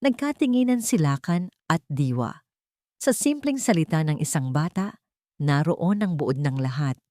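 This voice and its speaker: A woman narrating a scripted audio drama story in a sentimental, earnest way, with measured phrasing and dramatic pauses. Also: deep voice, expressive, darkish tone, dry recording, clean studio sound.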